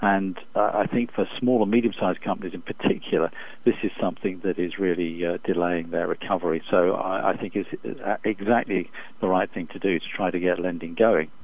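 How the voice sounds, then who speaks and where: A man speaking as an expert economist in an interview over the phone, giving measured, formal, halting analytical answers. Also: sits low, fairly even intonation. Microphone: telephone. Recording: dull, muffled phone interview line.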